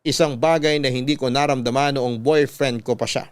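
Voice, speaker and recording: A man with a Filipino accent reading out a young woman's love letter as scripted radio narration, measured and heartfelt. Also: mid-range voice, fairly even intonation, clear, resonant tone, no echo, lightly acted. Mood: reflective, heartfelt.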